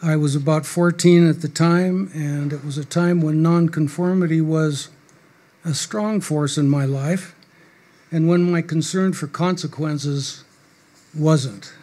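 A man's voice: a little high, steady tone, dark tone. An older man reading prepared, wry remarks at a stand mic on a small club stage. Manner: measured, dry and wry, reading from paper. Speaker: guest speaker. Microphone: stand mic at a podium.

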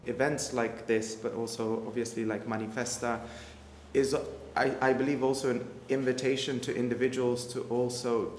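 A young man on a discussion panel speaking slowly and in a measured way. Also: mid-range voice. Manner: slow, measured, even delivery. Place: art gallery room. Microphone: stand mic. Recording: echoey hall, faint noise.

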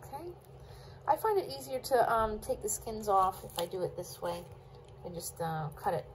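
A woman's voice: mid-range voice, very animated, normal speed, background noise. A woman chatting casually with her viewers while she chops vegetables at her kitchen counter. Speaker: cooking vlogger. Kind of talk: casual cook-along chat.

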